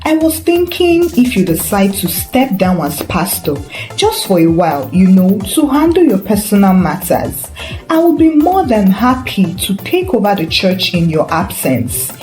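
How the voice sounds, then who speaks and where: Woman as a smug, unctuous male rival, animated. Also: mid-range voice, measured pace. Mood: feigned sympathy. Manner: unctuous, theatrically concerned. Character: smug, scheming male church rival feigning sympathy. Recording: roomy echo with faint music.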